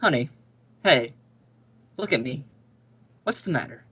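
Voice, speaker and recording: A man's voice: mid-range voice, lively intonation, a little slow, sharp tone, slightly rough, full character voice. A man playing an affable but suspicious suburban husband and father in an amateur radio play, talking conversationally with some exaggerated delivery. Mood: concerned, insistent.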